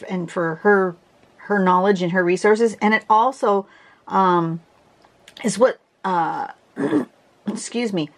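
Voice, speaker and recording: A woman with a congested voice teaching a drawing pattern in a casual, chatty way, often restarting and clearing her throat. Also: mid-range voice. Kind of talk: casual tutorial narration. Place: home desk, camera over the drawing surface.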